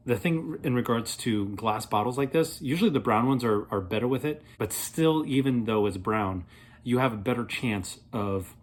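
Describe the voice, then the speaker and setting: A man in his thirties reviewing a product in a casual, playful way, rambling as he thinks aloud, with a slightly husky voice. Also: medium pitch. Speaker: beer reviewer, vlog host.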